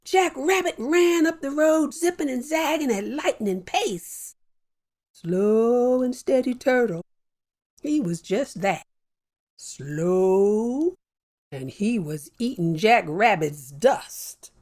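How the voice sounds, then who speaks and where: A woman hosting a children's story show, telling a folktale with a lively, playful delivery and drawn-out phrasing. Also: a little high. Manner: warm animated storyteller with slow deliberate pacing. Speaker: storyteller host. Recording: soft music bed behind.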